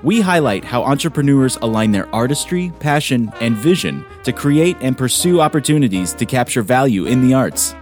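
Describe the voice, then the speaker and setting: A man reading a scripted podcast intro and legal disclaimer in a measured announcer style. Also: medium pitch, animated. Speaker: show announcer. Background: soft guitar music.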